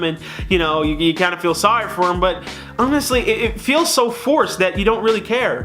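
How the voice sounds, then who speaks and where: A man giving a casual, opinionated movie review in an animated, colloquial way with mocking asides. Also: high-pitched.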